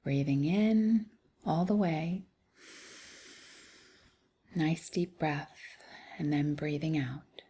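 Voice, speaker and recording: A woman leading a guided meditation in a soft, soothing, unhurried way with long pauses. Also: sits low, a little hiss or hum. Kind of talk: guided body scan meditation.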